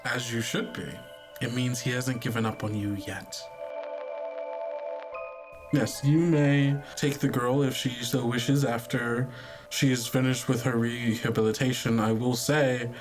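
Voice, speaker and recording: A man playing a polite, condescending overseer of a sinister program in an actual-play game, speaking formally and smoothly. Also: medium pitch, natural voice, low-quality recording. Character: polite, condescending head of a sinister rehabilitation service.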